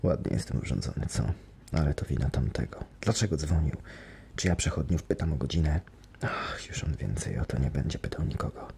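A man's voice: expressive, fairly quick, vocal fry, darkish tone, slight background noise, heavily acted. A man doing a brooding, menacing monster. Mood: sinister. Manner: low, brooding, coldly tender. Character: menacing masked male monster, brooding and unhinged. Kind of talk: audiobook character voice.

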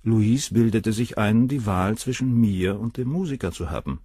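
Deep, husky, condescending gentleman. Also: animated, moderate pace, no echo, clean studio sound. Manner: condescending, matter-of-fact. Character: haughty, cool, self-assured gentleman lover under questioning. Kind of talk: radio crime drama dialogue.

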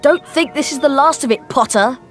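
An actor plays an arrogant British schoolboy wizard in a game. The voice is high and boyish, with a haughty, taunting sneer, and soft music sits behind it. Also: a little fast, natural voice. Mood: challenging. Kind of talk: video game cutscene acting.

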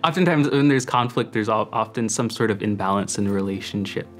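A man's voice: on the low side, steady tone, a little fast, fairly bright tone, faint music behind. A young man who works in admissions, speaking hesitantly with frequent fillers. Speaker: admissions recruiter.

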